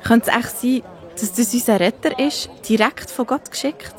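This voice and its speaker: A woman's voice: on the high side, moderate pace, darkish tone, a faint crowd, natural voice. A woman playing a young biblical woman in a children's audio drama, speaking expressively with a Swiss German accent. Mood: excited, amazed. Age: young adult.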